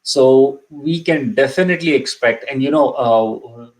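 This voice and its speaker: A man's voice: mid-range voice, measured pace, a little mellow, low-quality recording. A middle-aged man whose first language is Urdu, hosting a live immigration session and talking casually with many ums and restarts, a little sick with a cough. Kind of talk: casual live-stream talk.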